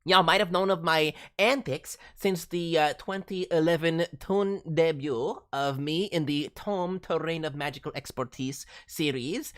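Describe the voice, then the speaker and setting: An excitable cartoon raccoon with a high voice that swoops up and down theatrically. He takes his time over each phrase and playfully mangles words as he hosts a livestream, every bit the reformed toon villain. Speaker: host, voice director reviewing demo reels. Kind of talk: livestream hosting in character as a cartoon VTuber.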